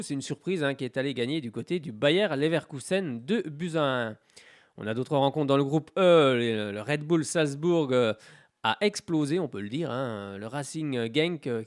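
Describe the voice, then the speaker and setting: A man with a stuffy head cold hosts a radio sports show from a studio, his voice pitched fairly high for a man. He talks in a loose, hesitant way, full of filler sounds and light jokes. The studio sound is close and dry. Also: no room sound. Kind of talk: casual radio sports talk.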